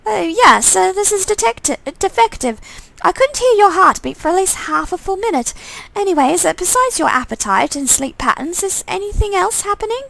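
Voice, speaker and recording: A woman's voice: very high-pitched, sing-song, moderate pace, vocal fry, a bit bright, voice pushed up, full character voice, rough recording. A woman voicing a hesitant, businesslike doctor in a visual novel, speaking in a clinical, stumbling manner.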